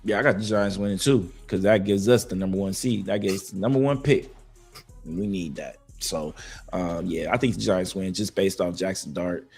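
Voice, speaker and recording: Hoarse low-voiced man with a gravelly rasp. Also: moderate pace, faint music behind. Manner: loose, slangy, animated banter with fillers. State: losing his voice. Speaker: podcast co-host. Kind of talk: casual sports talk.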